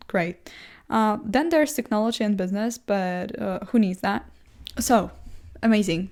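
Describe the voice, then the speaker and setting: A young woman, English not her first language, thinks out loud in a loose, rambling monologue. She talks at a middle pitch and keeps restarting her sentences with fillers. She pokes fun at herself as she goes. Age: young adult. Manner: chatty, self-deprecating, full of fillers and restarts. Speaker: vlogger. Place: seated indoors in a chair.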